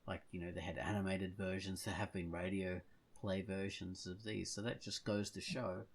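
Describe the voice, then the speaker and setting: A man's voice: low-pitched, little pitch movement, normal speed. A man chatting casually and enthusiastically as a podcast co-host in an informal way.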